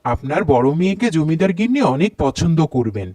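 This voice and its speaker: Mellow, flattering cartoon matchmaker. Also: male voice, fairly high pitch, fairly quick, no room sound. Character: courteous, flattering male village matchmaker. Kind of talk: Bengali moral-story cartoon dub.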